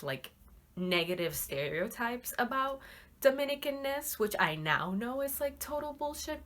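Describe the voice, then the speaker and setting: Low-pitched young woman chatting casually. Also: a bit bright. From Puerto Rico. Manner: candid, informal, occasional swearing. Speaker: book vlogger. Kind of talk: casual chat to viewers.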